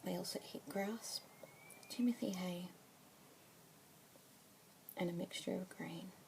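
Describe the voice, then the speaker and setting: A young Australian woman whispers close to the microphone in a soft, breathy ASMR roleplay, her low voice catching into a gravelly fry. Gentle murmured fillers come between calm explanations, and a steady hiss sits clearly under the recording. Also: slow. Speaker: ASMR creator playing a pet adoption specialist.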